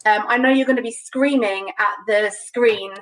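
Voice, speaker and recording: A woman's voice: fairly high pitch, normal speed, fairly bright tone, slight background noise. A young woman from London with a London accent, presenting a casual live cooking demo from her home kitchen, chatty and full of ums. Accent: London English. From London, England. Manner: chatty, many ums, light laughs. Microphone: webcam on a video call. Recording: distant webcam mic in kitchen.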